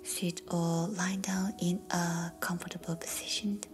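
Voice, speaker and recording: A woman leading a guided meditation in a soft whisper, slow and calm. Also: on the low side. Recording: faint music behind.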